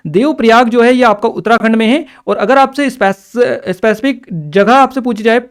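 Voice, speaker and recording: A man's voice: extremely high pitch, normal speed, clear, resonant tone. A man explaining exam questions step by step as a teacher, addressing students directly and checking they follow. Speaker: exam-prep teacher and channel presenter.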